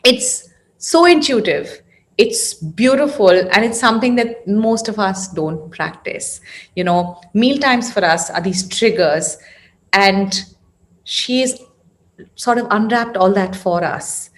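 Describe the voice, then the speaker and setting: Female interview host, mid-pitched, welcoming conversational delivery. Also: expressive, slow. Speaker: interview host, founder of a parenting series. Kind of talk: warm conversational interview introduction.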